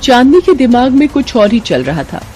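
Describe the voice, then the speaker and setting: A woman's voice: a little high, sing-song, normal speed, warm tone. A woman reading a scripted moral bedtime story as narrator, with music in the background.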